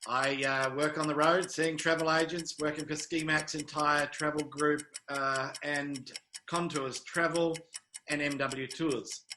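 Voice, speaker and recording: A New Zealand man in his fifties with a slightly raised pitch and a bright, forceful tone. He answers interview questions briefly and dryly, with good humour and a hesitant filler now and then. Faint noise runs under the recording. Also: little pitch movement, a little slow. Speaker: interview guest, travel sales manager.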